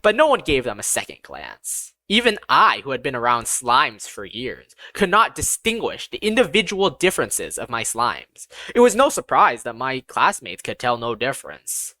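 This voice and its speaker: A woman's voice: extremely low pitch, wildly swooping pitch, moderate pace, few pauses, sharp tone, dry recording, low-quality recording. A woman reading a scripted first-person fantasy audiobook as its narrator.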